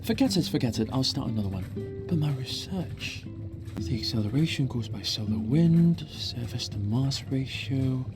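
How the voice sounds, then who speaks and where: A man playing an eccentric, absent-minded scientist in a video game, rambling and excitable about his research. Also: medium pitch, normal speed, over music, natural voice. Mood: distracted, apologetic. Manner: rambling, excitable, self-absorbed. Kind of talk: video game character acting.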